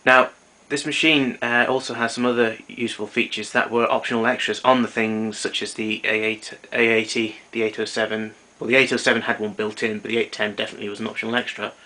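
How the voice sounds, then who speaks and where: Man, lowish flat delivery, hesitant hands-on technical explainer, faintly noisy homemade recording. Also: quick pace. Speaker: tape recorder repairer giving a demonstration. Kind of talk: informal technical demonstration. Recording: noisy amateur recording with clicks.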